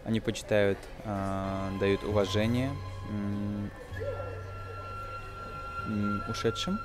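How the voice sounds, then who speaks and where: A young man in his twenties with a fairly low voice teaches English informally. He explains hesitantly, one phrase at a time, while music plays clearly behind him. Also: flat delivery, slow. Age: 20s. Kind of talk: informal film-based language lesson.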